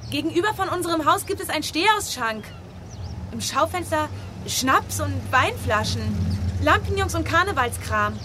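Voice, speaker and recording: A woman's voice: high-pitched, lively intonation, normal speed, background noise. An actress playing a chatty, eager young wife in a postwar radio drama, playful and prodding as she talks.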